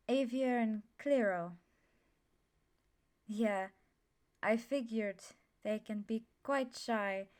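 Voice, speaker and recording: A woman's voice: mid-range voice, a little mellow, lightly acted. A woman playing a friendly, confident young female student mage, chatty and forthright, in an ASMR roleplay. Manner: chatty, forthright, self-assured. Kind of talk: ASMR roleplay, casual introduction.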